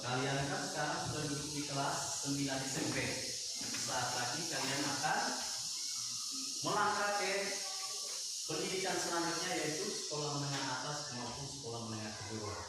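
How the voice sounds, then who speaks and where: A man's voice: medium pitch, moderate pace. A man with an Eastern Indonesian accent teaching a school class as a guidance counselor, didactic and prompting, repeating key phrases and putting questions to his pupils. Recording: very echoey room, distant mic, loud noise.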